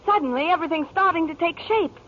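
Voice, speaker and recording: A woman's voice: very high voice, quick pace, fairly bright tone. An American actress playing a determined, hard-working mother and businesswoman in 1940s radio style. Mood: earnest, firm. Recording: dull, noisy 1940s radio recording.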